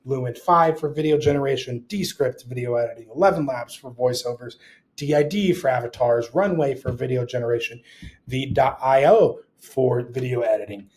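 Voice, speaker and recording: A man's voice: medium pitch, moderate pace, darkish tone. A man presenting a tutorial in an informal, conversational style. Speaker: presenter of a tutorial on AI video tools.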